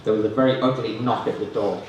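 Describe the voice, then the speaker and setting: A man performing stand-up comedy, hesitant and self-deprecating, reading parody prose in a deadpan way. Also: on the low side, fast, distant mic, muffled recording. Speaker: stand-up comedian reading own mock novels. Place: student union comedy stand-up event, indoors. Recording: roomy, noisy live room recording from afar. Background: audience laughter, room noise.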